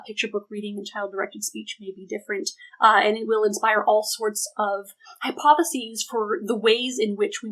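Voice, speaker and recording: A woman's voice: fairly high pitch, a bit bright, poor audio. A woman researcher explaining her ideas in an academic talk, emphatic and thinking aloud as she goes. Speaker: academic researcher, panel presenter. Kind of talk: academic presentation and question answering.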